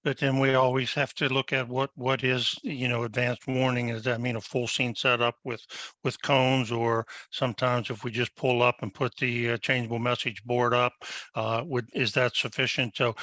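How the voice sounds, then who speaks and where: A man on a webinar panel answering audience questions over a video call, measured and a little apologetic. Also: mid-range voice, level tone, bright, forceful tone, dry recording.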